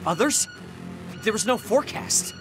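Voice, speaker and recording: An actor playing a proud, insecure young soldier in an anime video game, curt and defensive. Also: male voice, sits high, expressive, normal speed. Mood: tense. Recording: loud music under voice. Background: game soundtrack music.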